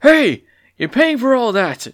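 A man voicing an angry male bird farmer who yells and scolds. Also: very high-pitched, sing-song, unhurried, dry recording, clean studio sound, pitched above the performer's own voice, heavily acted. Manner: yelling, scolding.

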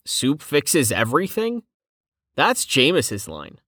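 An earnest, mild-mannered man from an audiobook, voiced by a woman, cautious and a little puzzled. The delivery is tentative and questioning, with lively pitch movement in a dry recording. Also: a little slow, no room sound, heavily acted. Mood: curious.

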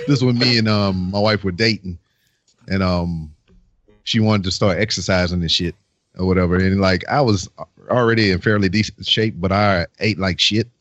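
A man's voice: low voice, normal speed, fairly bright tone. A man telling anecdotes in casual banter, swearing freely, on a dull recording. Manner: crude, heavy swearing, anecdotal. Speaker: military veteran.

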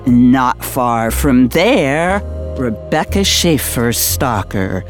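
Slow, haunted retired homicide detective. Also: male voice, mid-range voice, very animated, soft background music. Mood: grim, wry. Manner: brooding, deliberate noir storytelling. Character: haunted, world-weary retired male Los Angeles homicide detective. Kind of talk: radio theater monologue.

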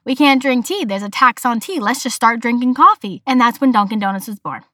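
A young woman with a Boston accent hosting a solo podcast, chatty and full of animated asides. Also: a little high, fairly quick, no echo, clean studio sound. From Massachusetts, USA. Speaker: podcast host.

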